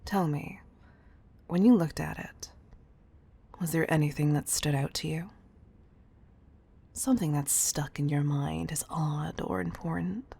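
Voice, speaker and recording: A woman playing a composed, commanding CEO in an intimate roleplay audio, speaking in a measured, teasing, patronizing way with affectionate firmness. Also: deep voice, vocal fry, warm tone, breathy edge, exaggerated voice.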